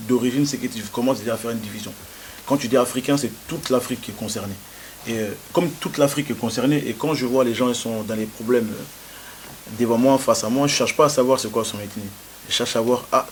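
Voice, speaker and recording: Hesitant young man, faint hiss. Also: medium pitch. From France. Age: young adult. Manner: halting, many hesitations and restarts. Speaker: interviewee. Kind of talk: interview answers.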